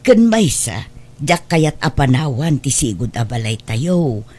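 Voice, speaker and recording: An old mother played by an actress in an Ilocano radio drama, humble and homely. Her gentle, plaintive speech moves freely up and down in pitch. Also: expressive, unhurried, slightly rough, exaggerated voice. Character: humble elderly mother, hardworking, attached to old home.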